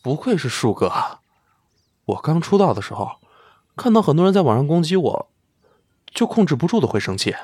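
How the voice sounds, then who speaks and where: A man's voice: fairly high pitch, brisk, long pauses, dry recording, studio quality. A man acting an effusive, devoted young celebrity idol in a Chinese audio drama, boyishly clingy and gushing. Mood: admiring, pleading. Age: young adult. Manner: gushing, pleading, swinging from excited to earnest.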